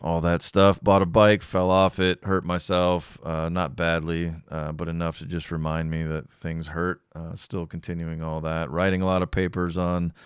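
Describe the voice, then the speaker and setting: Deep-voiced man hosting a podcast. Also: moderate pace, few pauses, clean tone, dry recording, clean studio sound. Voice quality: calming voice. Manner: relaxed, rambling, with frequent restarts and self-deprecating humor. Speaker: podcast host. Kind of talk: casual solo podcast monologue.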